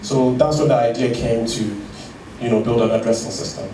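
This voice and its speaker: A man recounts his story hesitantly, pausing often and restarting his sentences. He is recorded from some distance in an echoey room with clear background noise. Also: mid-range voice, warm tone. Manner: hesitant, many fillers and restarts. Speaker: guest, tech founder. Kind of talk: conversational interview.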